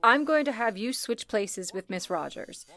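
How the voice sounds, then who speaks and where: A woman's voice: a little high, expressive, bright, forceful tone. A woman playing a composed, commanding telephone exchange supervisor, speaking firmly and formally as in an old-time radio drama. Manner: firm, deliberate, formal.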